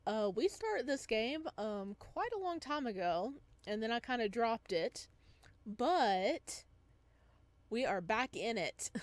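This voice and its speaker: A woman's voice: on the high side, no room sound. A woman gaming streamer with a giggly, playful manner, reading visual novel text aloud and commenting as she plays.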